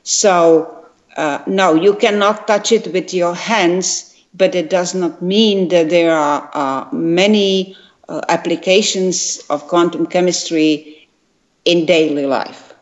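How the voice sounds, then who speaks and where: A retired woman from Czechoslovakia with a Czech accent, explaining science carefully with frequent pauses and fillers. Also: on the low side. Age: older. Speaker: guest, retired quantum chemist. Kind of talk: interview answers. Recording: dull lo-fi sound.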